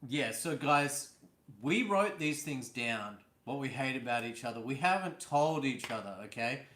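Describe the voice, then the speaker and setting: A man hosting a live stream from indoors at home, talking casually and teasing his co-host with easy laughs. His voice sits at an ordinary pitch. The room around him rings with a noticeable echo. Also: lively intonation, unhurried, fairly bright tone. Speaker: vlog host.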